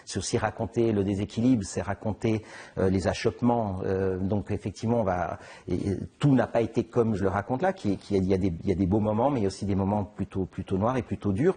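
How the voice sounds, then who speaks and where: A middle-aged man from Switzerland, answering interview questions in a halting way, with many restarts and fillers. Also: lowish voice, warm tone, breathy edge. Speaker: interviewed author. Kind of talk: conversational interview.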